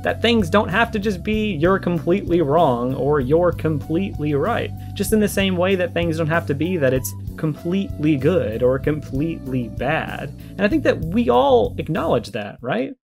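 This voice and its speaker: A man with a fairly high voice delivers conversational video commentary. He argues emphatically and circles back over his points to stress them, with faint plucked guitar music behind him. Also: lively intonation, moderate pace, gravelly, few pauses. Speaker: video creator and host.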